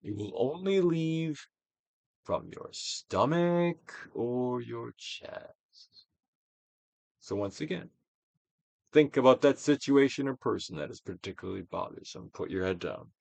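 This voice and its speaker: Guy doing a dramatic audiobook read, guided exercise style, pitch all over, big swells, really dry recording. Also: expressive, creaky, darkish tone, no echo. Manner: theatrical, deliberate, with pauses and big swells. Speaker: audiobook reader and actor. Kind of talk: dramatic reading of a self-help book as guided exercise.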